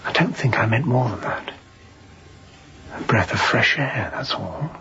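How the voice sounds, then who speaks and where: An actor playing a weary, haunted escaped seaman who confides in a hushed, halting way, as in a radio drama. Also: mid-range voice, animated, dull audio, full character voice. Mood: quietly confiding. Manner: hushed, breathy, halting confession.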